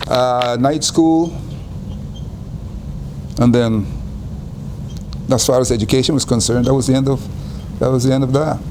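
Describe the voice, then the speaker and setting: An older man from Guyana recounts his early years at an unhurried pace, often circling back and repeating his words. His voice sits at an ordinary pitch for a man. A faint background hiss runs beneath the recording. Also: steady tone. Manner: unhurried, repeats and restarts phrases. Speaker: interviewee. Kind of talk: reflective life-story interview.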